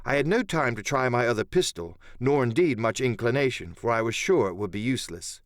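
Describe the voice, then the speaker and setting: Male narrator, medium pitch, dramatic scripted storytelling, literary phrasing, very dry recording. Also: animated, a little fast, no echo. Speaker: audiobook narrator and voice actor.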